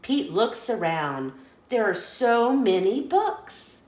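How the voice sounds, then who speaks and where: A woman reading a children's picture book aloud as a story host, in an expressive, deliberate storytelling manner. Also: mid-range voice, echoey room.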